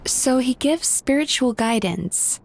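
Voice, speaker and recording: An actress playing a calm woman in a video game, speaking in a measured, pondering manner. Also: high voice, gravelly, exaggerated voice. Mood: measured curiosity.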